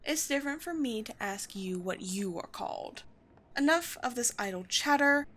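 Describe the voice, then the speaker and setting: A woman playing a haughty, commanding vampire queen in a roleplay audio drama, speaking in deliberate, theatrical phrases with disdain for humans. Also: a little high, lively intonation, a bit bright, slight background noise. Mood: amused disdain.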